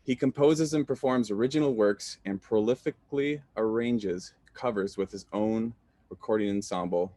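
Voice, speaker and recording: A man's voice: lowish voice, moderate pace, dark tone, dry recording. A man introducing the keynote speaker on a video call, reading a prepared bio with an upbeat manner.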